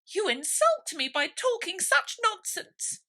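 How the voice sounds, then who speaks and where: A very high-pitched, easily offended storybook mouse. The speech is severe and sharp, swooping about with huffy indignation. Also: female voice, big pitch swings, slow pace, heavily acted. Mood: angry, severe.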